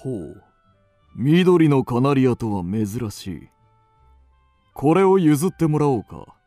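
An actor playing a haughty, cold middle-aged aristocrat, imperious and curt, in a radio drama. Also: male voice, medium pitch, big pitch swings, takes it slowly, mellow, dry recording, faint music behind. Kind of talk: Japanese radio drama acting.

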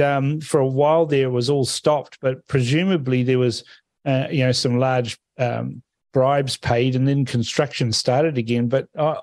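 A man's voice: medium pitch, a little mellow, no room sound. A man from Fiji speaking forthrightly on a political radio panel. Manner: forthright, assertive opinions.

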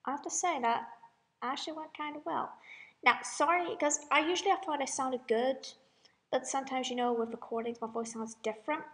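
A young woman with a high voice hosts a casual home-recorded vlog. She rambles in a chatty, self-deprecating way, addressing her viewers informally. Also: steady tone, fairly quick, a bit bright. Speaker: amateur cover singer and channel host.

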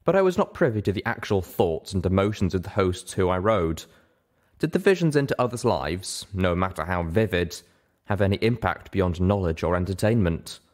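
A man with a low-pitched voice reads a scripted story aloud. His delivery is measured and dramatic, in the manner of an audiobook narrator. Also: lively intonation, a little mellow. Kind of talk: scripted fan fiction narration.

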